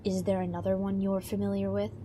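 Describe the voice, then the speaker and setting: A woman's voice: medium pitch, completely flat delivery, quick pace, mellow, slight background noise, close to an everyday voice. A woman playing a blunt, defensive intelligence officer and security chief in a science-fiction audio drama. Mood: guarded. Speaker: voice actor in fan audio drama.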